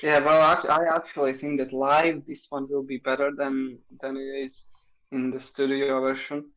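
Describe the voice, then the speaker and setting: A man's voice: medium pitch, flat delivery, normal speed, fairly bright tone, low-quality recording. A man from Latvia co-hosting a podcast in casual chat, hesitant and often restarting his sentences. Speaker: podcast co-host.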